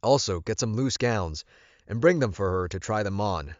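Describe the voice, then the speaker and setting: A man's voice: lowish voice, animated, normal speed, dry recording, muffled recording, natural voice. A man voicing a bossy, wealthy male werewolf leader in an audiobook, commanding and insistent.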